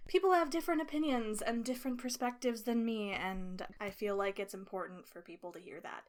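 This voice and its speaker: A woman's voice: medium pitch, clean tone. A woman in her twenties hosting a podcast, reading scripted narration and chatting casually with guests. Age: 20s. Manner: relaxed, laughs often, filler words in chat. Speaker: podcast host.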